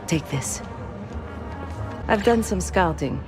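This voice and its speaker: A woman's voice: animated, takes it slowly. A woman playing a composed, sly undercover operative in a video game, speaking in a cool, confiding, businesslike way. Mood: cool, conspiratorial. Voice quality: low, creaky. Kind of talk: video game cutscene acting. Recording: loud music behind.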